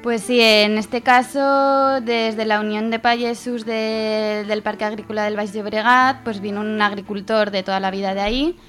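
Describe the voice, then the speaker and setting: Woman from Spain with a mid-pitched voice. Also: fairly even intonation, moderate pace, hardly any pauses, fairly bright tone, faint music behind. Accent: Spanish, from Spain. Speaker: radio studio guest. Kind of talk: conversational explanation.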